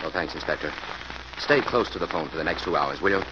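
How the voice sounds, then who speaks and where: A noisy, dull old radio recording presents a self-reliant crime-fighting hero. His male voice is deep, serious and purposeful, in classic forties serial style. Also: animated, fast. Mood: grim, determined. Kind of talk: 1940s radio acting.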